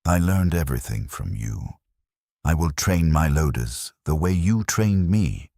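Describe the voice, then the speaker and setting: A man voicing a deep, earnest young soldier. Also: lively intonation, measured pace, dark tone, dry recording, studio quality, heavily acted. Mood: solemn resolve. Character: earnest male young soldier, loyal weapons loader.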